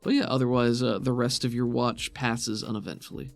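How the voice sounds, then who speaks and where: Gravelly man running a tabletop game. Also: medium pitch, fairly even intonation, fairly quick, soft background music. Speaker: game master. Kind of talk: running a tabletop roleplaying game, explaining rules.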